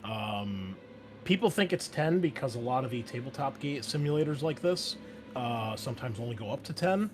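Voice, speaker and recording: A man hosting a tabletop game podcast as game master, upbeat and jumping louder on key words. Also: medium pitch, expressive, moderate pace, soft background music.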